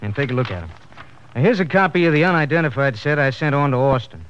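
Male actor as earnest American police lab man, medium pitch, brisk emphatic delivery, dull old radio drama audio. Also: expressive, a bit bright, slight background noise, natural voice. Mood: urgent, certain. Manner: brisk, emphatic, informal reporting. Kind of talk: 1950s western radio drama acting. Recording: muffled vintage 1950s radio broadcast recording.

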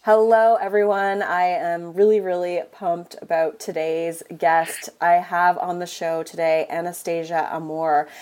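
A woman's voice: lowish voice, steady tone, a little slow, few pauses, a little mellow, soft background music. A Canadian woman hosting a podcast, upbeat and enthusiastic, saying "like" often in casual talk. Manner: upbeat and enthusiastic, with frequent likes. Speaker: podcast host. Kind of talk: podcast intro and casual interview.

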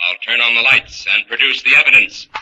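An actor playing an unseen crime fighter posing as a ghost, ominous and commanding in a declamatory 1940s radio drama style. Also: male voice, mid-range voice, fairly quick, sharp tone. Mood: commanding, accusing. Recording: dull old 1940s radio recording.

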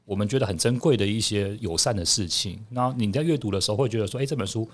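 A man's voice: fairly low pitch, fast. A man with a Taiwanese accent talking conversationally as an interview guest. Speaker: podcast guest, food reviewer and book author.

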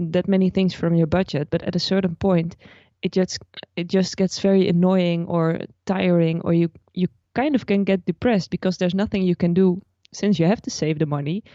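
Low, dark-voiced Dutch woman. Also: no room sound, muffled recording. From Netherlands. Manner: thoughtful, explains at length with restarts. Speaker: guest, personal finance blogger. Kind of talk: podcast interview.

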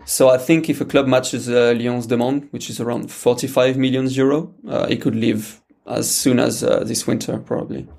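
A man's voice: medium pitch, level tone, normal speed, creaky, hardly any pauses, a little mellow. A man with a French accent, a guest pundit talking football on a podcast, hesitant with many fillers and restarts. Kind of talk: casual podcast chat.

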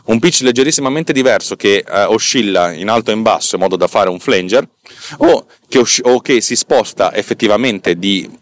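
A man with a fairly low voice talks like a podcast host explaining technical things. His delivery is informal and colloquial, with halting phrasing as he often corrects himself mid-sentence. He comes across as self-deprecating and unpolished.

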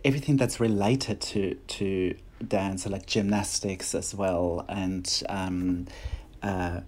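A man's voice: deep voice, steady tone, takes it slowly, darkish tone. A middle-aged man with a German accent, speaking English as a second language, chatting as an interview guest and often restarting his phrases. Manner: hesitant, many restarts and fillers. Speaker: guest choreographer and dancer. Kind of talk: casual interview chat.

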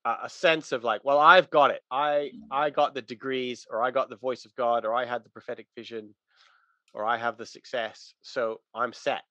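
Guy explaining religious stuff, punchy bright voice, very dry room sound, bit lo-fi. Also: medium pitch, fairly even intonation, moderate pace, no echo. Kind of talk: casual religious discussion.

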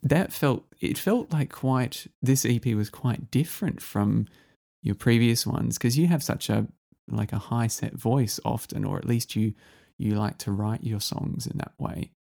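A man's voice: mid-range voice, unhurried, vocal fry, mellow. A man hosting a casual music interview, rambling and enthusiastic with lots of fillers. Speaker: podcast host.

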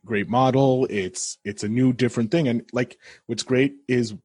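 Husky chatty podcast host, dry sound. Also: male voice, medium pitch, steady tone, normal speed, darkish tone, no room sound. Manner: agreeable, chatty, loose. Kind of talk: casual interview chat.

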